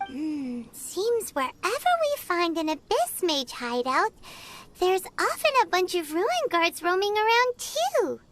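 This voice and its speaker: A woman voicing a small, childlike fairy guide in a video game, squeaky, perky, chatty and curious, with exaggerated delivery. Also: very animated, slow, noisy background, full character voice. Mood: surprised, puzzled. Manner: perky, exaggerated, refers to herself in third person. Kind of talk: animated video game character acting. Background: prominent background music.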